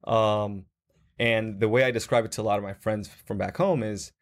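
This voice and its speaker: Man from Guatemala, low voice, casual chat, reflective, lots of fillers. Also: fairly even intonation, moderate pace. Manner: reflective, many fillers and restarts. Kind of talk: casual group conversation.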